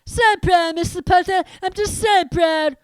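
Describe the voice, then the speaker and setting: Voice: very high voice, steady tone, unhurried, dry recording, clean studio sound, pitched above the performer's own voice. Gushing starstruck witch. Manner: breathless gushing.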